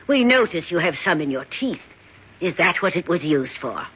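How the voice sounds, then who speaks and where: Detached, superior alien; measured and bright, old radio drama. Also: male voice, a little high, very animated, a little hiss or hum. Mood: coldly superior. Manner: cold, measured pronouncements. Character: cold alien being, calm and superior. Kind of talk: 1970s radio drama acting. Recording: dull old radio broadcast.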